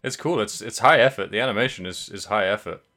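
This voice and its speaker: A man's voice: lowish voice, sharp tone. A man co-hosting a podcast, chatting casually and often restarting his sentences. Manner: halting, many restarts and fillers. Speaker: podcast co-host. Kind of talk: casual chat.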